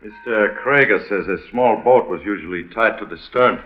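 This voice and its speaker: Actor as an earnest island policeman, lowish voice. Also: close to an everyday voice. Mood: careful, grave. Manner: formal, careful, deliberate phrasing. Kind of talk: 1950s radio drama acting. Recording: muffled vintage radio broadcast.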